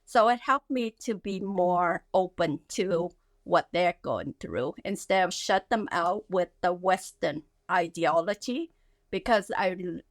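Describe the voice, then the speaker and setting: A woman, a guest speaking haltingly with many fillers in a casual interview. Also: on the low side, dry recording. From Vietnam. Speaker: guest, skincare founder.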